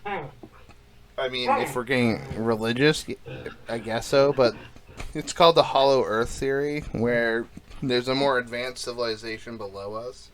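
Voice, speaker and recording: A man's voice: medium pitch, a little slow. A young man co-hosting a casual podcast chat, rambling with lots of fillers and crude jokes. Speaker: podcast co-host.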